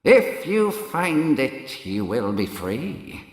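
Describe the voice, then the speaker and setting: A man's voice: medium pitch, very animated, extremely slow, voice pushed up. A man voicing an angry, cruel, threatening fairy-tale magician in a theatrical manner, with long reverb. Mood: menacing. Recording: long echoing hall reverb.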